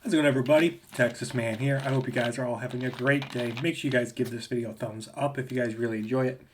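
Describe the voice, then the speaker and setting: A young man with a slight cold talks through a casual movie review. He rambles, filling gaps with ums and restarting his sentences. His voice sits at an ordinary male pitch. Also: steady tone, fast, a little hiss or hum. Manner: rambling, with many fillers and restarts. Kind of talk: casual video review rant.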